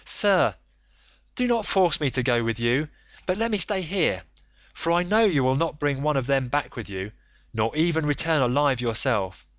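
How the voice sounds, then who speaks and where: A man's voice: fairly high pitch, animated, moderate pace, fairly bright tone, no echo, dull audio, voice pushed up. Man reading as a frightened, pleading ancient sailor. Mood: piteous pleading. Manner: piteous, earnest pleading. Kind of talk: audiobook character reading.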